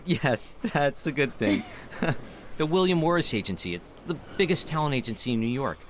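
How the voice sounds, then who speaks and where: Young theater guy played by a male actor, enthusiastic and expressive, lively swooping pitch, noisy ambient backdrop. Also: moderate pace, lightly acted. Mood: upbeat, eager. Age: young adult. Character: eager, talkative young city man in theater. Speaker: actor in an audio drama. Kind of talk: naturalistic audio drama dialogue.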